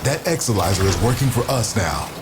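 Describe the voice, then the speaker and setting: An actor voicing a grave, mournful man who guides a fighter through a video game mission in solemn, measured, formal words. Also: on the low side, big pitch swings, very noisy. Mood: urgent, commanding. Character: grave, mournful man guiding a fighter aboard a haunted ship. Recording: game music loud behind the voice. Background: loud game music.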